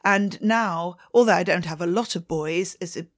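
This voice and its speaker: A middle-aged woman with a Swedish accent answers questions about her work as a ballet teacher. Her voice sits a little low, and she talks in a chatty way, laughing often. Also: normal speed. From Sweden. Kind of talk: interview answers.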